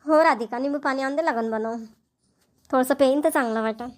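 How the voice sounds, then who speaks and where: A woman's voice: sits high, quick pace, natural voice. A woman voicing a tired, middle-aged village mother-in-law in a family cartoon, with a homely Varhadi Marathi accent. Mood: weary, fond. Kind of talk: cartoon family comedy voice acting.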